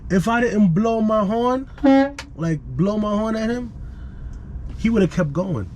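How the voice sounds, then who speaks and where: Slow-paced man telling a casual vlog story. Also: high-pitched, lively intonation, darkish tone, a little hiss or hum. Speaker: vlogger, truck driver. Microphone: action camera microphone.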